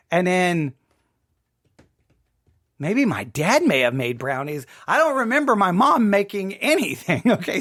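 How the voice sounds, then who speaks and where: A man hosting a casual, personal commentary, speaking emphatically with halting repetitions. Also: high-pitched, fairly bright tone. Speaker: host commenting on a radio drama.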